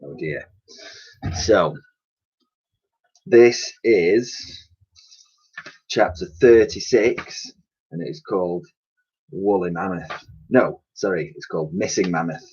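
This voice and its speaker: A man reading a children's storybook aloud in an expressive, dramatic way, with the odd stumble. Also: sits low, takes it slowly, pauses often, husky. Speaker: primary school teacher reading aloud. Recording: dull lo-fi home recording.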